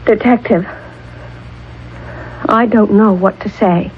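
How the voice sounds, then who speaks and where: A woman's voice: mid-range voice, takes it slowly, background noise, close to an everyday voice. A woman playing an earnest, precise lady professor in a 1970s radio drama. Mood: distressed, defensive. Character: earnest, overwrought lady agriculture professor accused of murder. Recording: muffled, band-limited old radio broadcast.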